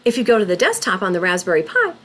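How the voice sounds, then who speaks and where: A woman narrating a scripted tutorial as an instructor, in a deliberate, instructional manner. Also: medium pitch, big pitch swings, fairly bright tone. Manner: deliberate, instructional, spells out terms letter by letter. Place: voice-over for a screen recording.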